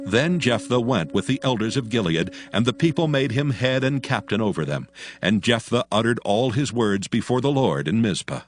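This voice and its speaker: A man narrating scripture as a storyteller in a solemn, measured, scripted style. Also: mid-range voice, expressive.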